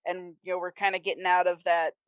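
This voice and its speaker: A woman's voice: lowish voice, flat delivery, quick pace, clean tone, no echo. A woman discussing films casually as a podcast panel host, chatty and prone to acting out imagined quotes.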